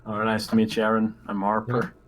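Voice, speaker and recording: A man's voice: on the low side, monotone, fairly quick. A man playing a tabletop roleplaying game, chatting casually in and out of character. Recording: clear background noise.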